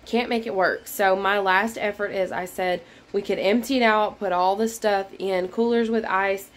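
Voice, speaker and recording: A woman in her thirties talks straight into a phone held at arm's length in a small bedroom. She rambles in an easy, chatty way with plenty of fillers. Her voice is worn and fading from a recent illness. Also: mid-range voice. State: losing her voice after being sick. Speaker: vlogger. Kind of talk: casual cleaning vlog chat. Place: small bedroom in a mobile home.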